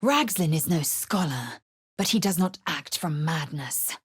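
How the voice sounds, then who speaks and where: A woman playing a proud, fierce young female alien warrior in a video game, with a raspy voice and clipped, haughty, deliberate delivery. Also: lively intonation, no echo, clean studio sound, exaggerated voice. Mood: commanding, resolute. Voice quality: raspy, husky, breathy when low. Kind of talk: video game character acting.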